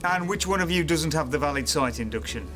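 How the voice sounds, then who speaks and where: Man acting a busy maintenance boss, hurried, slightly high pitched, bit of music behind, training film. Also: animated, lightly acted. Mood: brisk, instructing. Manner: brisk, hurried, managerial. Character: busy, brisk male maintenance supervisor, harried but procedural.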